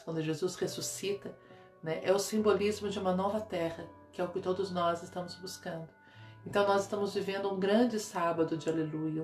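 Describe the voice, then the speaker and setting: A middle-aged Brazilian woman with a slightly nasal voice, talking casually and reflectively to her followers as a meditation guide. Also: fairly low pitch. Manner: conversational, often checking in with "né". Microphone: phone or webcam at arm's length. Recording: echoey small room with music behind.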